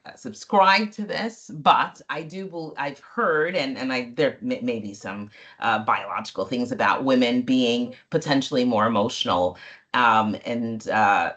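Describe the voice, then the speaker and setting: A woman with a strong voice giving candid personal advice as a webinar panelist, in a deliberate, emphatic way. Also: rumbling low. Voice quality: loud, strong voice.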